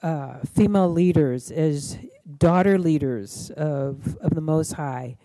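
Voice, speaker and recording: A woman hosting a gathering, speaking to the group in a gentle, deliberate, halting way. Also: low-pitched. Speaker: session host closing the evening with prayer. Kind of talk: live address to a group, announcements and prayer.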